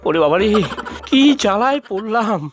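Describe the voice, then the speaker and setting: A man's voice: high voice, expressive, normal speed, over music. Guy doing a henpecked cartoon husband, hapless n placating, voice jumps up and down, theatrical. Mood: pleading, exasperated. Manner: pleading, exasperated, theatrical. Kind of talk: cartoon voice acting.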